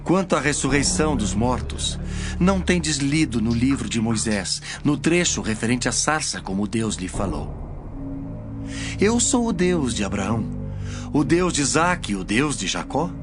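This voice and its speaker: A solemn male teacher acted by a man declaims scripture with authority. His inflection is lively and emphatic, as in an audio Bible drama. Light music runs underneath. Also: mid-range voice, a little slow. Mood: stern teaching.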